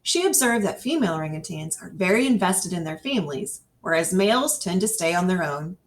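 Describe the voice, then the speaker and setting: Woman, nonfiction read-aloud, animated pitch movement, careful phrasing, medium-pitched voice, slightly distant mic. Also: a little fast. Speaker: reader. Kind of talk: scripted read-aloud of an educational nonfiction text. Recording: distant microphone.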